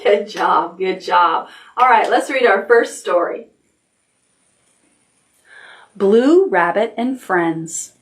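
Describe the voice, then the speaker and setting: A woman's voice: mid-range voice, expressive, slow pace, pauses often. An American woman leading storytime for toddlers, speaking in a sing-song read-aloud style with simple prompts for small children.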